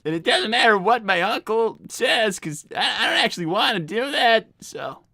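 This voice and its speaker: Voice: mid-range voice, lively intonation, sharp tone, no echo. A performer voicing an awkward young male fox who stammers and restarts his sentences, in improvised live character roleplay. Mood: flustered. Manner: stammering, halting, with many restarts. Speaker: character voice actor on a live stream.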